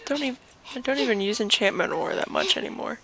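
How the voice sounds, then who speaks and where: A woman's voice: on the high side, expressive, a little fast, gravelly, fairly bright tone, slight background noise. A woman streaming a video game with casual, offhand commentary, half talking to herself. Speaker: gaming streamer.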